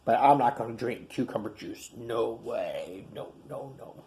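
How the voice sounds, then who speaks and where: A man who teaches young children, reading a picture book aloud in a playful, animated way with gasps and chatty asides. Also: mid-range voice, unhurried, a little hiss or hum. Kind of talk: storybook read-aloud for children.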